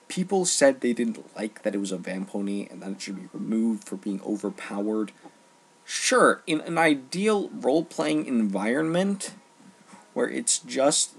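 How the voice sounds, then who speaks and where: A man delivering an informal opinion rant, emphatic and halting, often repeating phrases for stress. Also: medium pitch, gravelly, breathy edge. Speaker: online ranter.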